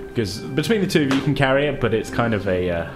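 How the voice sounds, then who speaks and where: A man's voice: mid-range voice, expressive, fast talker, music in the background. A man running a tabletop role-playing game as game master, narrating in a conversational, casual and amused way.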